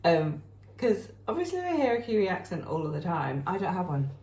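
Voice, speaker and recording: A woman's voice: lowish voice, big pitch swings, a little fast, darkish tone, roomy sound. A woman with a Yorkshire accent, co-hosting a craft podcast in a casual chat, chatty and often trailing off with ums. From Yorkshire, England. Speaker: podcast co-host.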